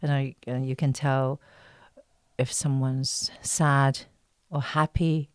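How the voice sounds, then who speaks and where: A woman with a low voice speaks as an interview guest, recorded close and dry. She talks casually and haltingly, searching for words and often breaking into a giggle. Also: level tone, clean tone, no room sound. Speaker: guest, voice actress in an audio drama series. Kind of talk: casual podcast interview chat.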